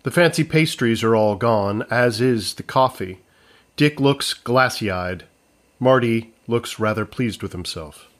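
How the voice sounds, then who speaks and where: Measured male comedy narrator. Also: mid-range voice.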